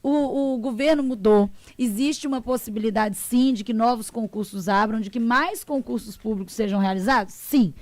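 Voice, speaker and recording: A woman's voice: on the high side, normal speed, hardly any pauses, darkish tone, no room sound. A woman lawyer on a talk show, explaining in a didactic way and acting out her clients' words. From Brazil. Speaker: social security lawyer, guest.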